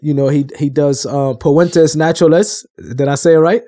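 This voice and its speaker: Male co-host for casual chat podcast: mellow, slightly raised pitch, informal street-casual phrasing. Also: steady tone, moderate pace. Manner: informal, slangy.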